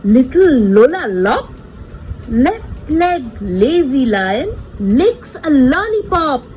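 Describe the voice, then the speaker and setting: A woman's voice: high-pitched, big pitch swings, takes it slowly, a little mellow, faint music behind, poor audio. A woman reading a picture book aloud to small children in a playful, sing-song, teacherly way, repeating words and pointing things out. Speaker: children's read-aloud presenter.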